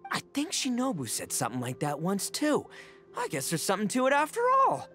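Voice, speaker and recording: A man's voice: high-pitched, wildly swooping pitch, a little fast, pushed and bright, faint music behind, exaggerated voice. An actor voicing a brash, goofy young male oni gang leader in a video game, bombastic and slangy. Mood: upbeat, conceding. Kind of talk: animated video game voice acting.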